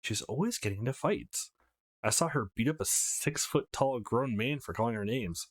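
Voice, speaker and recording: A man's voice: mid-range voice, lively intonation, normal speed. A man hosting a let's play, casually reading visual novel text aloud and adding his own asides.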